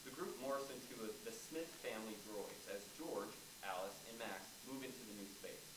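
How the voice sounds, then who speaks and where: A man's voice: fairly low pitch, expressive, normal speed. A man reading stage directions aloud as scripted narration for a play. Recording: echoey noisy room, far from mic.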